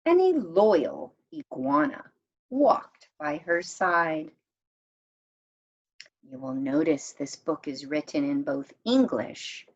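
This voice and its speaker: A woman reading a children's picture book aloud in a measured, expressive storytime manner, as if to young listeners. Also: sits low, lo-fi sound. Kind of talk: read-aloud storytime narration.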